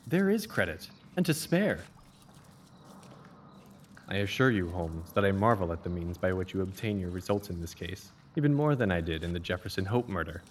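A man playing an earnest, admiring Victorian gentleman, a detective's loyal companion, formal and questioning, in a dramatised audiobook. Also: low-pitched, very animated, moderate pace. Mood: earnest admiration. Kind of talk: dramatised literary audiobook dialogue. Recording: faint background noise.

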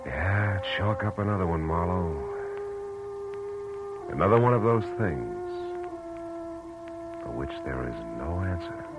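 An actor playing a hard-boiled, world-weary but compassionate private detective in 1940s radio drama style. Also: male voice, sits low, expressive, measured pace, long pauses, muffled recording. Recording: old radio recording with music.

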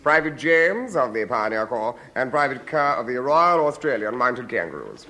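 An English actor playing a polite, earnest army colonel with a clipped officerly manner in vintage radio comedy. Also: male voice, medium pitch, big pitch swings, normal speed. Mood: genteel. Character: genteel, earnest British army colonel briefing his men. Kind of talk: 1950s British radio comedy acting. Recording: very noisy old radio recording.

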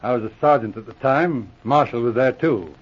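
An actor playing a brisk, gruffly good-humored veteran police officer in a 1940s radio drama. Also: male voice, mid-range voice, lightly acted. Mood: wry, reminiscing. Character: brisk, dry, loyal veteran police sergeant and inspector. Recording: muffled old radio recording.

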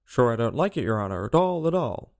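Man doing a folksy, deferential servant, swooping pitch. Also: big pitch swings, fairly quick, creaky. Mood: deferential, reluctant. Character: meek, deferential servant Pat, stubbornly honest.

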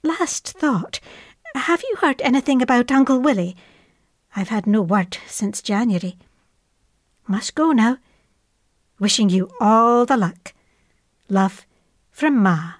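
A woman's voice: on the high side, lively intonation, slow pace, pauses often, no room sound. Fond, chatty wartime mother, close dry sound. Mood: tender. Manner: chatty, matter-of-fact, fond. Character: affectionate Scottish mother writing to her airman son in wartime. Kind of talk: dramatised letter reading.

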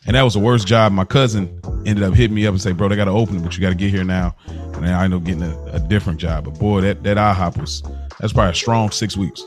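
Podcast co-host, male, American. Lowish voice, brisk pace, slangy storyteller who acts out dialogue. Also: soft background music. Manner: slangy, animated retelling with acted-out quotes. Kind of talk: casual chat, telling stories.

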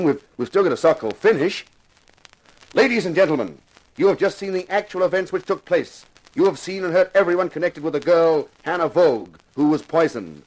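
Wisecracking 1950s radio detective, higher voice, swings around a lot, hardboiled and persuasive. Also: male voice, low-quality recording. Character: wisecracking male TV detective actor playing amateur sleuth, persuasive. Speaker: lead actor and narrator. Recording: vintage 1950s radio recording.

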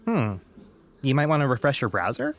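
A young male call-centre agent in an audio comedy. His mid-pitched voice moves expressively up and down, courteous and careful, patiently guiding the customer along in natural, professional phrasing. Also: very animated, a little fast, a bit bright. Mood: courteous, helpful.

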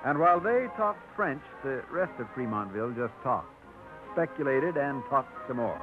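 Lively radio narrator over music. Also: male voice, a little high. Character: wry storytelling narrator of small-town tale. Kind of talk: 1950s radio scripted narration. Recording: tinny old radio, loud music behind.

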